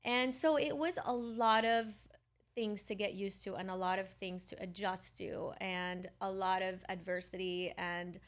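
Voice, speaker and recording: A woman's voice: mid-range voice, fairly even intonation, measured pace. A middle-aged woman from Lebanon telling her personal story conversationally as a podcast guest. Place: podcast studio.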